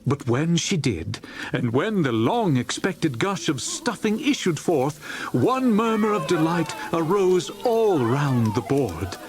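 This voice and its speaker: Male audiobook narrator for a classic tale: theatrical and expressive, wide pitch swings, slightly high register. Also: slow, slight background noise. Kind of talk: dramatic scripted storybook narration.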